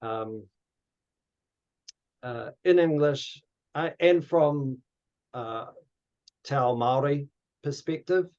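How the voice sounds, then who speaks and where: A man's voice: fairly high pitch, slow pace, long pauses, darkish tone, dull audio. A man with a New Zealand accent hosting an online workshop, informal and courteous, with some hesitancy. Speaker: workshop host. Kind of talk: informal facilitation and closing remarks. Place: online video call.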